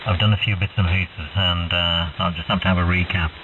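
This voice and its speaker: Deep-voiced man over crackly shortwave radio. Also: steady tone, brisk, distant mic. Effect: shortwave radio transmission. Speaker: amateur radio operator. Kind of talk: casual radio chat. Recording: muffled, narrow-band radio with clear static hiss.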